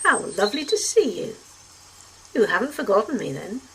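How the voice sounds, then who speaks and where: A woman's voice: medium pitch, very animated, normal speed, fairly bright tone, slightly rough, pitched above the performer's own voice, full character voice. A reader voicing an arch, refined woman traveller who speaks in a poised, teasing way.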